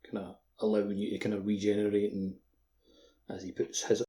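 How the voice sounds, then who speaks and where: A man's voice: on the low side, completely flat delivery, a little fast, mellow. A man co-hosting a podcast, chatting casually about a game in loose sentences peppered with "like". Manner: informal, frequent use of "like". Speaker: podcast co-host. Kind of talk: casual video game discussion.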